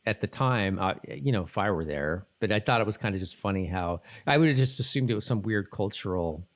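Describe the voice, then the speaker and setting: Low-voiced man chatting loosely. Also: lively intonation, brisk. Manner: loose, conversational, thinking aloud. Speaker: podcast co-host. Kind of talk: casual chat recapping a TV episode.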